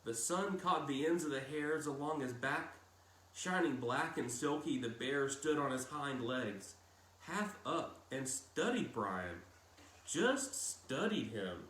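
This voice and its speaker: A man reading a novel aloud as a narrator, with measured phrasing and dramatic pauses and emphasis. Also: mid-range voice, expressive, fairly bright tone. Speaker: audiobook-style reader. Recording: roomy echo with clear background noise.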